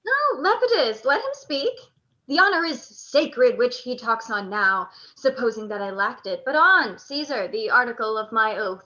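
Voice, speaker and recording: Woman as proud noble general in a radio play, high bright voice, formal shakespeare talk, bit lo-fi. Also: animated, normal speed. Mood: proud, earnest. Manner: formal, declamatory. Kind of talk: live radio play acting in Shakespearean verse.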